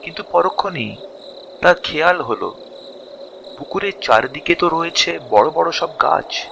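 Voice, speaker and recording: A man narrating a scripted ghost story dramatically, with suspense and drawn-out pauses between short phrases. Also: a little high, expressive, vocal fry, pushed and bright, a little hiss or hum, low-quality recording.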